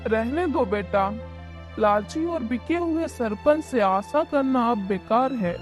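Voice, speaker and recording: A woman's voice: fairly high pitch, lively intonation, takes it slowly, soft background music. A woman voicing a wronged, pious, indignant widowed mother in a cartoon village story. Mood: wounded, resigned. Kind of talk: cartoon moral story dialogue.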